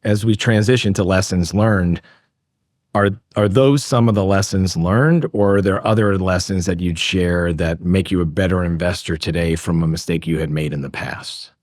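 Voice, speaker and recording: A man's voice: low-pitched, normal speed, a little mellow, dry recording, clean studio sound. A man hosting an interview in a conversational style, affirming his guest and rephrasing his follow-up questions as he goes. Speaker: interviewer, host.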